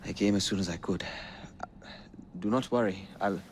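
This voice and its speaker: A man playing an earnest, deferential young gentleman in a video game, polite and a little hesitant. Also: low-pitched, moderate pace, slight background noise. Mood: earnest, anxious. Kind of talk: video game cutscene acting.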